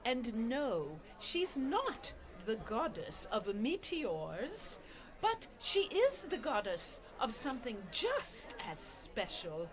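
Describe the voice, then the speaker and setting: A woman playing a gentle, devout and very polite cleric in a comedy radio play, earnest in every phrase. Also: fairly high pitch, big pitch swings, slow pace, a crowd in the background. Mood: sweetly earnest.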